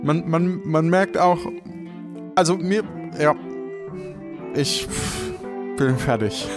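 A man hosting a podcast, speaking casually and unscripted, sometimes halting and repeating words. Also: fairly high pitch. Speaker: podcast host. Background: background music.

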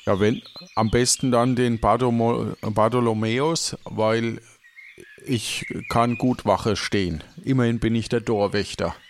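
A man's voice: mid-range voice, fairly even intonation, a little slow, lightly acted. Casual pirate gatekeeper, played by a man, faint noise. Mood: earnest planning. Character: helpful, naive male gatekeeper turned pirate. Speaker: improv audio play performer. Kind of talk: improvised pirate adventure audio play.

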